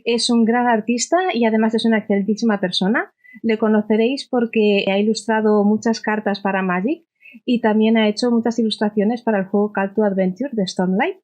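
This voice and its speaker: A woman's voice: medium pitch, steady tone, fairly quick, darkish tone. A woman co-hosting an interview, welcoming the guest in a cheerful way. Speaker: co-host. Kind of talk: friendly podcast interview.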